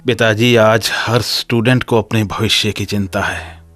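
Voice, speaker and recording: A man's voice: on the low side, fairly even intonation, measured pace, a bit bright, no room sound, soft background music. A man playing a defiant, bitter young student in a radio drama, speaking emphatically and argumentatively. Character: defiant, hot-headed young male student, wounded and bitter.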